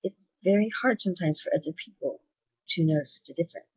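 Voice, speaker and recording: Woman vlogger, loud voice, candid and earnest, husky tone, dry muffled webcam sound. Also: fairly low pitch, lively intonation, quick pace, no room sound. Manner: candid, earnest, sharing personal experience. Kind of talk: personal video talk.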